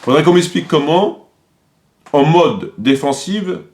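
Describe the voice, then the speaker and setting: A man's voice: fairly high pitch, a little slow, a little mellow, slight background noise. A man giving argumentative, accusatory spoken commentary, insistently repeating phrases for emphasis. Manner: accusatory, polemical. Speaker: commentator presenting a video.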